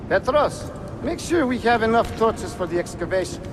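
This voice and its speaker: An actor playing an irritable, impatient soldier in a game cutscene, complaining in exasperation. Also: male voice, high voice, animated, moderate pace, noisy background. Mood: exasperated. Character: irritable, impatient male soldier guarding a dig. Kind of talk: dramatic video game cutscene acting. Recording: clear music behind the voice.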